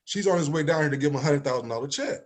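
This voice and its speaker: Man in his 40s, slightly raised pitch, animated talk-show guest who acts out his stories. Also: very fast. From East Saint Louis, Illinois, USA. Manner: animated storyteller, acts out quoted dialogue, often adds "you know what I mean". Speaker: guest, life insurance agent. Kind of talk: casual talk-show conversation.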